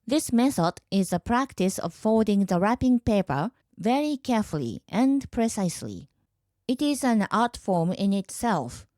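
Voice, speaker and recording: Japanese woman in her 30s doing a podcast, calm and clear, mellow voice, dry close mic. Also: medium pitch, normal speed, no room sound. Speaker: podcast host. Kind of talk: scripted explanatory podcast monologue.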